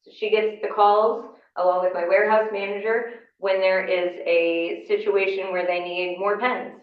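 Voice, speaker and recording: A woman with a hoarse voice from a cold, explaining her work to a class in a conversational way with plenty of ums. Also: medium pitch, little pitch movement, moderate pace. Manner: conversational, explanatory, with frequent fillers. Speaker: county election official giving a class. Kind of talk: informal lecture. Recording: echoey room, mic far off, dull sound.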